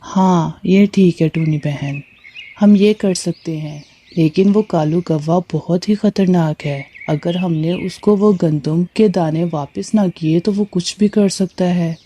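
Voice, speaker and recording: A woman's voice: on the low side, measured pace, dark tone. A woman playing a helpful, cautious male bird in a moral story cartoon, speaking earnestly. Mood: concerned, helpful.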